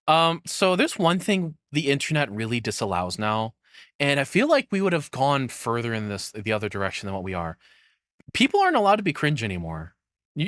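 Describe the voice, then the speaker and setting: A man's voice: medium pitch, a bit bright, dry recording. A man streams casual reaction commentary in a mid-range voice. He rambles off the cuff and swears freely. The sound is dry, with very little room in it.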